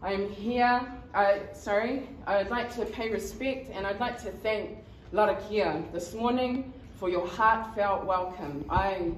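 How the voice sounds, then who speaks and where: A woman from New Zealand, a community member giving a conference presentation, speaking haltingly with many ums and nervous laughs. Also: mid-range voice. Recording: echoey room, mic at a distance, faint noise.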